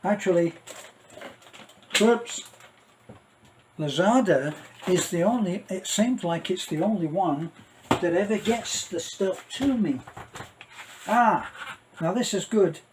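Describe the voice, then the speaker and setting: A middle-aged man giving a casual, rambling unboxing commentary, halting and thinking aloud as he goes. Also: high voice, animated, noisy background. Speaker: vlogger.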